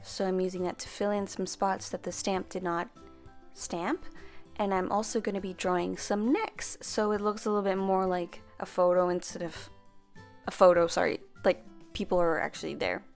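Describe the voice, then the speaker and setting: A woman's voice: lowish voice, flat delivery, moderate pace, soft background music. A young woman narrating a voice-over craft tutorial, chatty and friendly, sometimes correcting herself as she explains. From half Filipino, grew up speaking Tagalog. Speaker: planner stamping vlogger.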